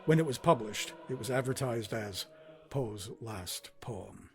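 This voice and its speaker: A man narrating a scripted documentary as host, in a measured, formal and articulate manner. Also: medium pitch, lively intonation, slight background noise. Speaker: host and director of a radio theater.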